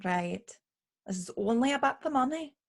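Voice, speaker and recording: A woman's voice: fairly high pitch, lively intonation, moderate pace, creaky. A woman playing a sassy, sarcastic lady's maid, loyal but teasing, in a radio play. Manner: sarcastic, needling.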